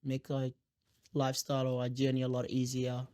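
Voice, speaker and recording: A young man from South Sudan whose first language is Dinka, telling his life story in an interview with many pauses, fillers and restarts. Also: mid-range voice, completely flat delivery, mellow. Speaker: guest.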